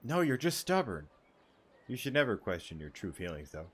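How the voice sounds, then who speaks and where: A man's voice: mid-range voice, very animated, normal speed, slight background noise. A young man giving casual, chatty commentary as a gaming streamer, reacting informally as he plays.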